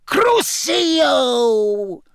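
A man voicing a cruel dark lord in a storybook, with a cold, high-pitched voice and a menacing, deliberate way of talking. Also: big pitch swings, vocal fry, clean tone, no room sound, studio quality, exaggerated voice. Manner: menacing, mock-lenient, deliberate.

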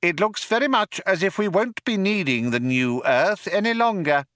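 A man's voice: high-pitched, lively intonation, normal speed, a bit bright, no room sound, studio quality, pitched above the performer's own voice. A man voicing a small talking mouse in an audiobook, coolly acidic and matter-of-fact. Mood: coolly dismissive. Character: small pink-eyed male mouse, coolly acidic and dismissive. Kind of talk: audiobook character voice.

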